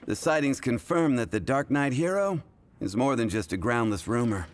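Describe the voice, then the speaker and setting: A chatty barman from a fantasy video game voiced by a man, medium in pitch. He shares rumors casually, with faint ambient noise behind him. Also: animated, moderate pace, lightly acted. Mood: gossipy. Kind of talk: video game cutscene acting.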